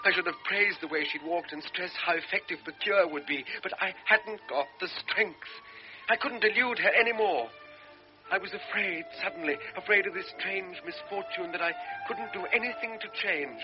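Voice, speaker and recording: An actor playing a guilt-ridden young lieutenant in an old radio drama, tense and confessional. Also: male voice, high voice, moderate pace, soft background music, lightly acted. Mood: anguished. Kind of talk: 1960s radio drama acting. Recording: dull lo-fi radio broadcast.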